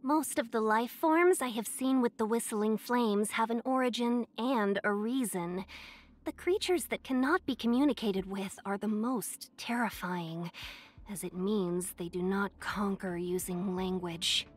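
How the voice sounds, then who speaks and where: A woman's voice: medium pitch, measured pace, lightly acted. A woman voicing a young fox-eared merchant in a video game, silver-tongued, polite and playfully coy. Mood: chatty, courteous. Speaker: game character voice. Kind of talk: scripted video game character voice lines.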